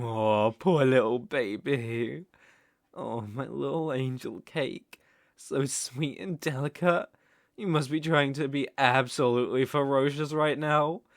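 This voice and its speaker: A man's voice: mid-range voice, a bit bright, no room sound. A man acts out a gloating British sorcerer for an ASMR roleplay. He takes his time, sliding between syrupy cooing and smug menace as he dotes on his captive. The sound is close and dry. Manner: cooing baby talk mixed with smug menace. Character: gloating, doting, cruel male sorcerer captor.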